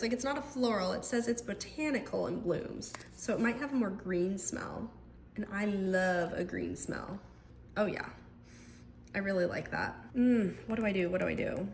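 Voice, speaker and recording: Chatty congested woman with background noise. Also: mid-range voice. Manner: chatty, thinks aloud with many fillers. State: congested from a cold. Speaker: lifestyle vlogger. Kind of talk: casual chatty vlog narration. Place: indoors at home.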